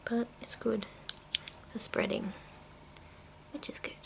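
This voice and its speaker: A woman hosting an ASMR video in a breathy, often whispered voice, speaking haltingly in short phrases as she shows off products. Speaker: video host. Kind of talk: ASMR, whispered and soft-spoken product show-and-tell. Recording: very noisy, with clicks and crinkling. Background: tapping, clicking and crinkling of handled objects.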